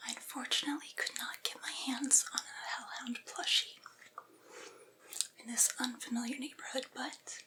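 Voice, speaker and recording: A woman playing a devoted, patient vampire's familiar in a soothing ASMR roleplay, whispering and coaxing a cranky master with gentle reassurance. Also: high-pitched, heavily acted, low-quality recording. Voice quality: breathy, unvoiced whisper.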